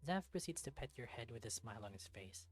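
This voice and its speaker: A husky, medium-pitched voice hosts a gaming let's-play. The delivery moves between storybook-like narration and casual commentary, with music playing faintly behind it. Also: sing-song, quick pace. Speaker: let's-play host reading a visual novel aloud.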